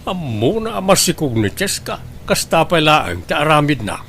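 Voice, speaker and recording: A stern Ilocano family man, played by a male actor in a radio drama. His voice sits a little high, and his delivery is blunt and questioning. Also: big pitch swings, moderate pace, a bit bright, slight background noise. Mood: grumbling.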